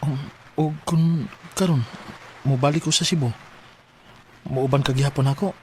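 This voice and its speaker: A man's voice: medium pitch, fairly even intonation, normal speed, mellow, slight background noise, close to an everyday voice. A man with a Cebuano accent acting a sincere, lovestruck young working man who also narrates his story in a radio drama. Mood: shy, tender. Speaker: radio drama actor and first-person narrator.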